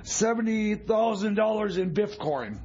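A man's voice: high voice, animated, measured pace, darkish tone, breathy edge, exaggerated voice. A man playing a flustered, scatterbrained radio sidekick in an improvised comedy skit, stammering and repeating himself. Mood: flustered, hesitant. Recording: muffled radio recording, music behind.

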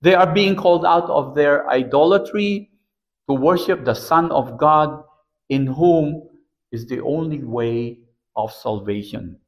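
A man in his sixties preaching a sermon from a pulpit in a small church, slow and deliberate, recorded by a camera across the room. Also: on the high side, poor audio. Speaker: pastor.

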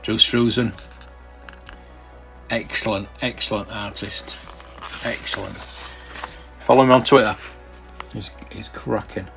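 Low-voiced man rambling casually. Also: fairly even intonation, slow pace, gravelly, pauses often, fairly bright tone, low-quality recording. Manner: rambling and hesitant, with many ums and restarts; enthusiastic collector's remarks. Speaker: collector and channel host. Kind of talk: casual commentary while browsing a catalogue. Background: faint background music.

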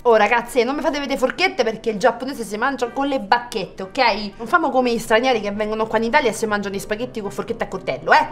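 A young woman with a Roman accent performing a comic sketch in an animated, slangy, theatrical way. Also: a little high, fast talker, few pauses. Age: young adult. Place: small restaurant dining room, indoors. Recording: background music under the voice.

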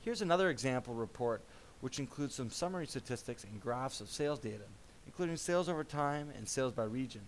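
Man narrating a software demo, faint hiss. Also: mid-range voice, lively intonation. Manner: measured explanatory presenter tone.

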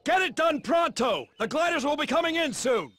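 An actor playing an urgent paratrooper officer barking clipped orders in a war video game. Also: male voice, very high-pitched, lively intonation, moderate pace, dry recording. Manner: shouting, clipped commands. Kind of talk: video game cutscene acting.

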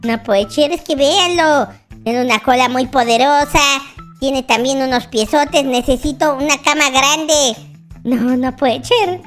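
A performer voicing an excitable, naive baby boy with a childlike squeaky voice, giving dramatic, exclamatory roleplay commentary for a children's gaming video. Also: unhurried, faint music behind. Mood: determined. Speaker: YouTube gamer playing a character.

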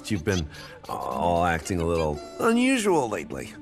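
A man's voice: low-pitched, huge pitch swings, moderate pace, faint music behind, full character voice. A man voicing a meek, deferential palace guard in a cartoon fan dub, hesitant and awkward. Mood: sheepish. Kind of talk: animated series fan dub.

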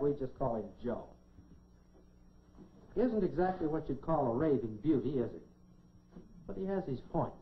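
A middle-aged American man presenting a scripted 1950s educational science film in a folksy, dryly humorous manner. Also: mid-range voice. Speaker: science presenter in a lab coat. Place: laboratory set, indoors. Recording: dull 1950s film soundtrack, clearly noisy.

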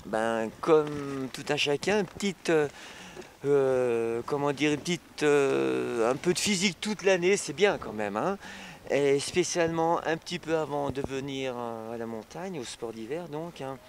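A middle-aged male ski instructor speaks into a handheld mic outside on a snowy slope. His pitch sits in an ordinary middle range, and his answers come in short, halting bits with lots of verbal tags. Faint background noise runs under his voice. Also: expressive. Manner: halting, frequent "hein" and "évidemment" tags. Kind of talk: casual interview answers.

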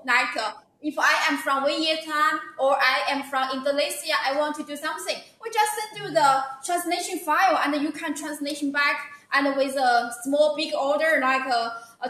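A high, bright-voiced woman with a Chinese accent pitches products on a live stream, leaning on okay and wow between points. Her voice rings through a very echoey room. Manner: eager, repeats "okay" and "wow", non-native phrasing. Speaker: sales presenter.

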